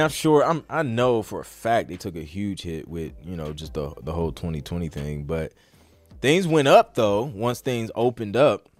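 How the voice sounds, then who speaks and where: A man's voice: lowish voice, a little mellow. A man hosting a casual livestream Q&A about music production, talking in an informal, slangy, conversational way. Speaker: podcast host and music producer.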